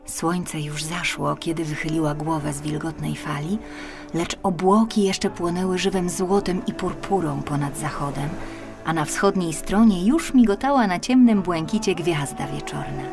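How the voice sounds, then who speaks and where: A woman narrating a children's fairy tale audiobook expressively and dramatically, moving between hushed and swelling phrases. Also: low voice, normal speed, creaky. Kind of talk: expressive scripted children's storytelling. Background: music.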